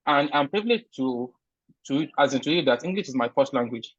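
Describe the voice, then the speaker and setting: A man with a Nigerian accent answers an interviewer's questions earnestly and with emphasis, often restarting his phrases. His voice sits at a middling pitch. The recording sounds muffled and lo-fi. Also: expressive, brisk, no echo. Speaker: interview guest, recent immigrant. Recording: dull, lo-fi sound.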